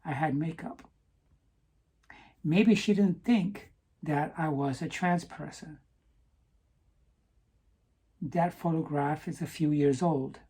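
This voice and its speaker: A woman talking earnestly to camera in a personal vlog, stopping to ask "okay?" for emphasis, with some halting restarts. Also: low-pitched, dark tone, husky. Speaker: vlogger.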